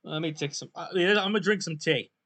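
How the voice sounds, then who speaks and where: A man hosting his own podcast in a casual, rambling solo talk, his voice going hoarse and often clearing his throat. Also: a little high, brisk, a bit bright, slight background noise. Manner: rambling, loose and self-deprecating, many fillers. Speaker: podcast host.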